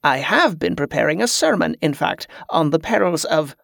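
A man's voice: a little high, expressive, moderate pace, vocal fry, a bit bright, no echo, studio quality. A man voicing a self-important, suspicious male preacher in an audiobook, pompous and easily put out. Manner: pompous, indignant.

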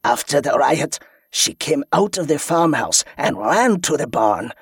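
A man voicing a wounded, mocking male pirate prisoner who speaks slowly with a chuckle and a leer. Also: a little high, sing-song, vocal fry, breathy edge, no echo, clean studio sound, heavily acted. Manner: chuckling, slow, leering.

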